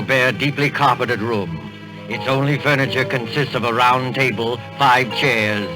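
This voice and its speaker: A measured narrator, played by a man sets the scene for an old radio suspense drama. His delivery is deliberate and scripted, with music playing clearly behind him. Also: medium pitch, close to an everyday voice, poor audio.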